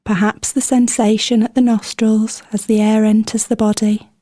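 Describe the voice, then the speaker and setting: A woman leading a guided meditation in a gentle, soothing manner, with scripted instructions and unhurried pauses between phrases. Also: on the high side, level tone, dry recording, clean studio sound.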